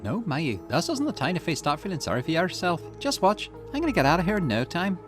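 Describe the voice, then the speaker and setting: Guy doing a bratty blunt young woman game character, high pitched, teasing, music behind. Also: very animated, brisk. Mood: defiant, resolute.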